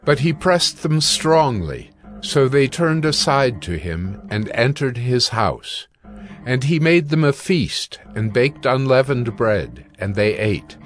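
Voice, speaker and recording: A man with a medium-pitched voice narrates scripture from a script. Faint music plays underneath. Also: very animated, takes it slowly.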